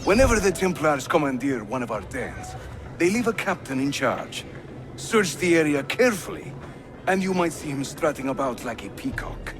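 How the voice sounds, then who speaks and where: A man's voice: on the high side, very animated, moderate pace. An actor playing an affable, spirited Ottoman assassin in a video game, with a playful, florid way of giving instructions. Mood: brisk, instructive. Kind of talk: video game cutscene acting. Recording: music behind the voice.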